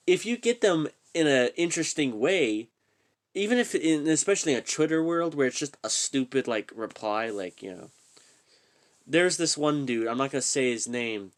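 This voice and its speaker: A young man ranting casually to camera, rambling, swearing and trailing off into mumbles. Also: on the high side. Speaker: channel host. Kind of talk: casual reaction rant.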